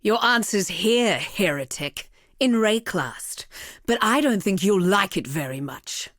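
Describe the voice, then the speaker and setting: A woman's voice: medium pitch, expressive, unhurried, fairly bright tone, dry recording, clean studio sound. An actress playing a cruel, haughty female villain in a video game, with a silky, mocking and condescending manner. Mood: menacing.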